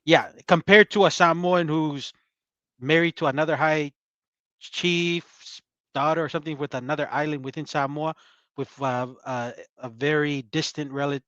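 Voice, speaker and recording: A man co-hosting a casual chat show, rambling with halting fillers and trailing off softly. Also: on the high side, fairly even intonation, smooth, no echo, highs cut off. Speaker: podcast co-host.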